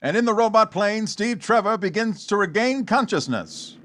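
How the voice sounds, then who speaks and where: A man's voice: high-pitched, expressive, moderate pace, fairly bright tone. A man acting as an urgent, dramatic narrator of a scripted radio serial. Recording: light background noise.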